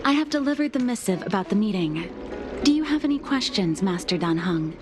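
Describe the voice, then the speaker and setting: A woman's voice: mid-range voice, expressive, a little fast, natural voice. A woman voicing a devoted young maid who speaks formally and courteously, with an earnest tone, in video game dialogue. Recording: game audio with music underneath. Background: background music.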